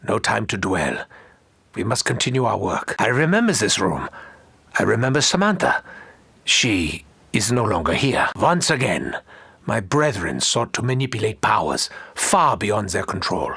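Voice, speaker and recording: An actor playing an eccentric, haughty German mad scientist doctor in a video game, theatrical and grandiose, with a German accent. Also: male voice, medium pitch, bright, forceful tone, husky, dry recording, exaggerated voice. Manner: theatrical, grandiose, self-important. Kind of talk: video game character quotes.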